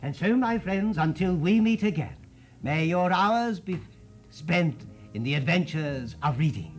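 A man hosting as storyteller, reading a children's storybook expressively with theatrical, dramatic pauses. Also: a little high, lively intonation, music in the background. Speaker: storyteller, TV host.

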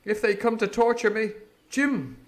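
A man voicing a frightened, earnest teenage cabin boy in a storybook reading, pleading and high-strung. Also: expressive, a little slow.

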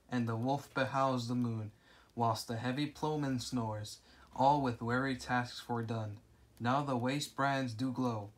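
A man voicing a night sprite in an amateur puppet show, reciting eerie verse in a measured way. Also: mid-range voice, lightly acted.

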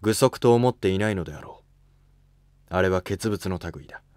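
A man voicing a retired emperor in a historical drama, shrewd and composed, with calm authority. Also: low voice, normal speed, dry recording, close to an everyday voice. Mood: appraising. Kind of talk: amateur historical drama dialogue.

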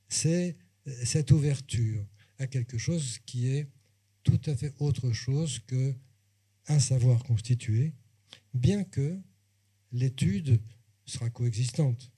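Older male panelist, very dark husky tone, slow delivery, handheld mic. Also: medium pitch. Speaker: discussant. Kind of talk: panel remarks. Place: bookshop, indoors.

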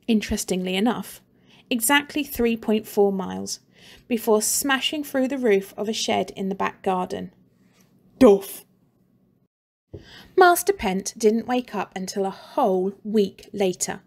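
A woman teacher reads a funny children's story aloud, taking her time over each phrase. Her voice has a gravelly edge, and she plays up the jokes. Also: mid-range voice. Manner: slow, expressive, playful.

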